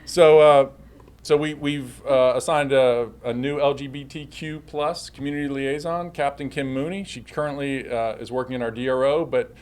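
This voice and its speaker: A man's voice: mid-range voice, steady tone, moderate pace, a little mellow, slight background noise. A man speaking as a police chief at a public briefing with slides, often repeating and correcting himself as he goes.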